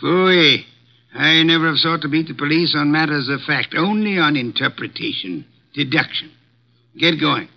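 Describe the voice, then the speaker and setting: A pompous, imperious detective holds court in an old radio play. His voice is gravelly and sits a little high. The recording is dull and muffled, like a vintage broadcast. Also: moderate pace. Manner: pompous, fussy and imperious, dismissive. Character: fussy pompous portly male detective who never leaves home. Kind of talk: 1950s radio drama acting.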